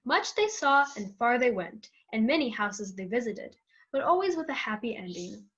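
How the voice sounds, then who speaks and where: Young female, high voice, lively intonation, storybook narration, amateur reading, dull recording. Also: moderate pace. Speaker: student narrator.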